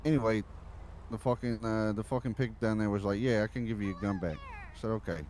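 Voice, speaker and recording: Man playing a low-voiced, profane mobster. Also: steady tone, fairly quick, a little mellow, slight background noise, natural voice. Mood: grumbling. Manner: profane, rambling. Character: gruff male New York mobster swapping news. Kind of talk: improvised crime roleplay on a livestream.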